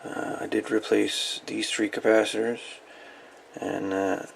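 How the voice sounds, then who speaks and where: A man with a very gravelly, fry-heavy voice talks casually as a hobbyist showing things off. His delivery is folksy and halting, wandering as he goes. It is a home recording with faint noise behind him. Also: on the low side, little pitch movement. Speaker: radio hobbyist presenting salvaged parts. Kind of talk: casual hands-on show-and-tell.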